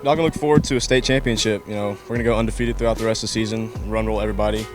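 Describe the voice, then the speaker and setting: A teenage boy athlete talking in a cocky, quick way. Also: fairly low pitch, steady tone. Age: teens. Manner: cocky, fast. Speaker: baseball player. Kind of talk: interview. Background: background music.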